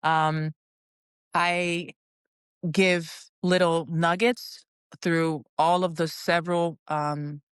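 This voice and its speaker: A woman's voice: lowish voice, level tone, drawn-out words, smooth, no room sound, clean studio sound. A middle-aged woman whose first language is Portuguese, a guest wellness coach talking casually and encouragingly on a podcast, with frequent ums. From Brazil.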